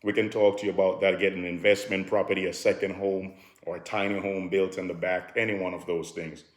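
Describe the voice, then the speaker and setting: A middle-aged man with a Jamaican accent, pitching home improvement deals in a relaxed, chatty way, peppered with "all right". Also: fairly quick, reverberant. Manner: relaxed, repetitive "all right" fillers, sips a drink while talking. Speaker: home improvement company promoter. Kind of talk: casual promotional talk to camera.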